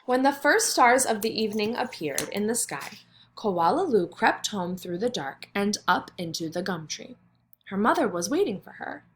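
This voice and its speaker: Expressive woman reading to young children. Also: medium pitch, moderate pace, a little hiss or hum. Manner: expressive, exaggerated wondering aloud, simple child-directed phrasing. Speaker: kindergarten teacher reading aloud. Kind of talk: children's read-aloud with think-aloud questions.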